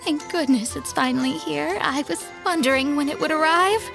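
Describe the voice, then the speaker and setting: A woman voicing a proud, polite young female astrologist in a video game, refined and expressive. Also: high voice, normal speed, gravelly, over music. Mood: gracious. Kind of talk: animated video game voice acting.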